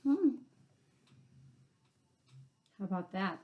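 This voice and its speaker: A woman hosting a children's read-aloud, chatting and explaining the story in animated asides. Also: medium pitch, very slow, phone-quality sound. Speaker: read-aloud host, teacher.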